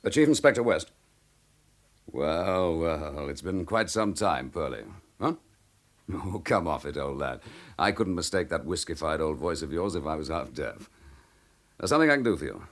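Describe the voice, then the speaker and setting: Deep-voiced, determined police inspector. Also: male voice, very animated, normal speed, pauses often, exaggerated voice. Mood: brisk, interested. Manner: forceful, clipped, impatient. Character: determined police chief inspector, gruff and intense. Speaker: actor in radio drama. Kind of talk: dramatic radio crime drama acting.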